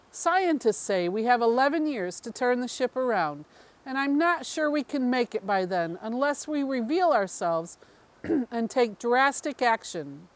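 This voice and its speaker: A woman reading the part of an undercover alien woman and devoted mother, explaining her mission in an earnest, wry, matter-of-fact way. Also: on the high side. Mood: earnest, resolute. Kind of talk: first-person story reading.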